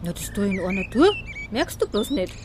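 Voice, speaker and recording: An actress playing a quick-witted, middle-aged Bavarian shopkeeper with a dry, sharp tongue in a radio drama. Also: female voice, mid-range voice, very animated, background noise, natural voice. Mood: mocking banter. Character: quick-witted, thick-skinned female small-town shopkeeper. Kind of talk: radio drama acting in dialect.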